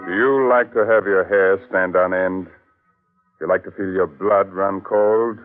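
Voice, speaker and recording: A man playing a sinister, teasing horror host who tells strange tales on 1940s radio, slowly and ominously. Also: low-pitched, steady tone, soft background music. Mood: eerie, ominous. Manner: slow, ominous, mock-welcoming drawn-out delivery. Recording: tinny old radio broadcast recording.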